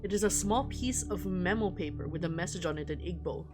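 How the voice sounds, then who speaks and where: A woman's voice: on the low side, fairly quick, music in the background. A woman livestreaming a visual novel, reading game text aloud and reacting with giggly, irreverent, slangy asides. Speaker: streamer playing a visual novel.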